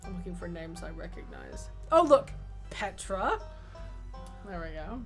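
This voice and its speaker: A woman's voice: fairly low pitch, sing-song, measured pace, faint music behind. Woman chatting on a game livestream. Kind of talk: casual, slangy livestream chat.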